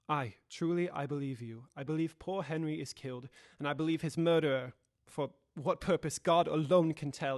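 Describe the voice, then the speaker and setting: A young man playing a grave, dutiful Victorian gentleman lawyer in an audio drama, with a formal and deliberate delivery. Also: mid-range voice, no room sound, clean studio sound, lightly acted. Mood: grim, vengeful. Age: young adult.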